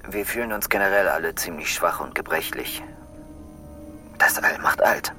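A man's voice: sits low, moderate pace, pushed and bright, soft background music. An actor playing a weary male astronaut crew member in an audio drama, talking in a tired, subdued way. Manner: tired, subdued logbook musing.

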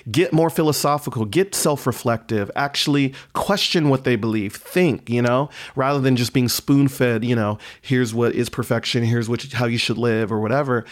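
A man's voice: medium pitch, normal speed, few pauses. A man speaking earnestly and philosophically in casual conversation as a podcast guest, often checking in as he goes. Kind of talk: reflective conversational discussion.

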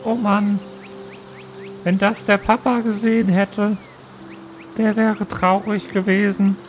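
A man voicing a cheeky young dwarf son with a squeaky, childlike voice and a Bavarian accent. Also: level tone, slow pace, faint music behind. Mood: upset. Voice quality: squeaky, Pumuckl-like. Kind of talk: improvised audio roleplay.

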